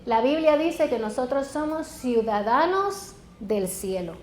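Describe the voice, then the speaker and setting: A woman's voice: high voice, expressive, measured pace, a little mellow. A woman in her forties from Puerto Rico with a Puerto Rican Spanish accent, a guest pastor preaching a sermon in a personal, joking way. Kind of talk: sermon to a congregation. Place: church sanctuary. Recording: echoey church hall with faint background.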